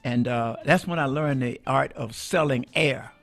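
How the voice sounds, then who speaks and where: An older man from Mississippi, a former radio DJ and minister, telling his life story in a relaxed, folksy way with many restarts and chuckles. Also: mid-range voice, measured pace, creaky. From Mississippi, USA.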